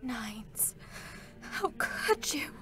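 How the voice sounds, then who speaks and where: A young female android soldier, heavy with grief and resolve. She speaks slowly and hesitantly, her intense delivery turning breathy with emotion. Soft music runs behind the dramatic game reading. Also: a little high, very animated, in a whisper, full character voice. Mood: tearful grief. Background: faint background music.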